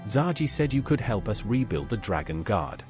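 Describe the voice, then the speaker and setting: A man voicing a calm, courteous adventurer in a fantasy video game. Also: fairly low pitch, moderate pace, music in the background. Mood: polite. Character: calm, courteous adventurer recruiting dragon slayers. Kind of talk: scripted video game dialogue.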